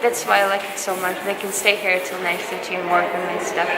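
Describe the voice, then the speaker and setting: A woman's voice: fairly low pitch, flat delivery, moderate pace. A young woman from Belarus with an Eastern European accent chats casually, encouraging and reassuring listeners as an exchange student giving advice. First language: not English; Polish is second language. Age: young adult. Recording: echoey hall with loud background noise.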